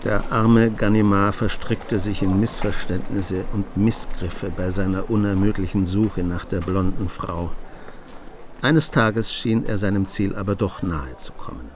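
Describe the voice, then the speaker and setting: A man narrating a radio play in a measured, storytelling manner. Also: deep voice, background noise.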